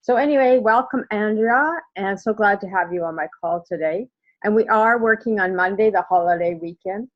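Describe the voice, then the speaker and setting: A woman's voice: mid-range voice, normal speed. Chatty woman host on a dull line. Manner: chatty, laughing, rambling questions. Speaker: podcast host. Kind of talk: casual interview hosting.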